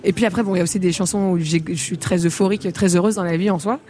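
A woman's voice: fairly low pitch, fairly even intonation, very fast, dark tone. A woman from the Congo, a singer as a radio interview guest, talking candidly with frequent laughs and fillers.

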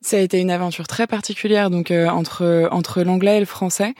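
A woman in her thirties, a novelist, answering interview questions softly with many pauses and restarts. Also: fairly low pitch, fairly even intonation, dry recording, studio quality. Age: 30s. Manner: hesitant, many "euh" and restarts, soft-spoken. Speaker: novelist guest.

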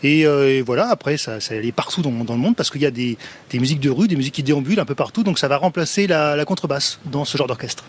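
A man's voice: mid-range voice, fast talker, a little hiss or hum. A man, a sousaphone player, explaining things in an interview with a hesitant, explanatory manner. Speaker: musician guest, sousaphone player. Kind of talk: casual interview answers.

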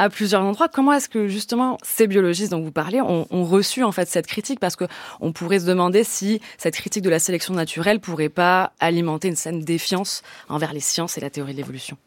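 Quick-talking female radio columnist. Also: fairly low pitch, dry recording. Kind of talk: radio interview question.

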